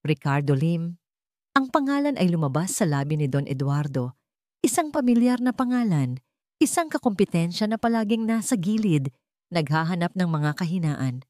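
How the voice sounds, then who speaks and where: Low-voiced Filipino woman narrating with lively pitch. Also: moderate pace, no echo, clean studio sound. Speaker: storyteller. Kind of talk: scripted dramatic audio story narration.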